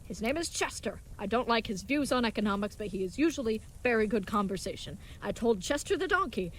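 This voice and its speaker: Woman playing a fast-talking, earnest would-be knight. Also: a little high, animated, slight background noise, natural voice, poor audio. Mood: earnest, chatty. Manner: formal, contraction-free, chivalrous, grandly earnest speech. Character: earnest, brave, naive young leatherworker playing knight, cheerfully oblivious. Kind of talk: scripted audio drama acting.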